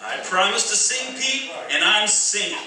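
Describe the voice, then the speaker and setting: A man playing a gentle, thoughtful soldier in a radio play, speaking haltingly. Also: high-pitched, lively intonation, heavily acted. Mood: earnest, hesitant. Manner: hesitant, halting. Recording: very echoey hall, distant mic, faint noise.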